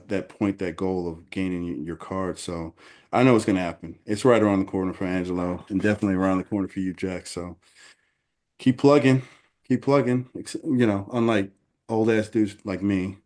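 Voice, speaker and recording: A man's voice: sits low, darkish tone. A man in his forties hosting a casual podcast, laid-back and encouraging, often saying "you know". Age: 40s. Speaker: podcast host.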